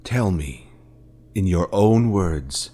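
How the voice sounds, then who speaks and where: A man playing a grave, manipulative fallen Jedi master in a video game, speaking in a solemn, deliberate, grandiose way. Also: low-pitched, animated, warm tone, full character voice. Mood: accusing, probing. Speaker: game character voice actor. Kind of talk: dramatic scripted game dialogue.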